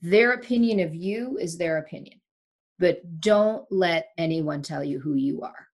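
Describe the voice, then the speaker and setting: Woman from sri lanka, 40s, lowish voice, chatty and emphatic, remote interview guest. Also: unhurried. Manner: emphatic, candid, animated storyteller. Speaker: broadcaster and podcast host, here the guest. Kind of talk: casual interview chat. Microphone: remote call.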